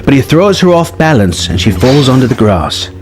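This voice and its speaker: A man's voice: mid-range voice, very animated, normal speed. A man narrating a scripted story in a dramatic reading style.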